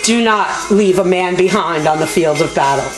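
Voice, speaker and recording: A woman with a loud voice speaking off the cuff as an activist host, making an emphatic, indignant, folksy appeal straight to viewers. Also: on the low side, normal speed. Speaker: activist video host and fundraiser. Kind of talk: impassioned unscripted appeal to viewers. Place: motel room. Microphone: small video camera. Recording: echoey room, homemade camera audio. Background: background music.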